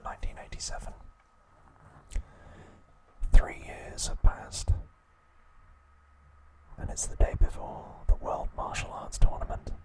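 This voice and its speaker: A man whispering softly and deliberately in short phrases, narrating a recap in ASMR style with a breathy, unvoiced voice. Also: poor audio. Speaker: host of an ASMR channel.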